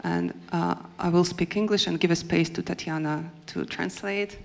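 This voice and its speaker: A woman whose first language is Russian, moderating a panel at a conference. Her voice sits low and has a gravelly fry to it. She talks haltingly and fills her pauses with "uh" and "um". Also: fairly even intonation, darkish tone. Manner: hesitant, many fillers and pauses.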